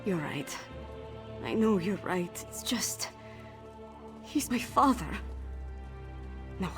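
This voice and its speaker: A woman's voice: medium pitch, lively intonation, slow pace, music in the background. A woman playing a determined young princess in a fantasy video game, burdened but commanding, with an earnest, firm delivery. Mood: troubled, resolute.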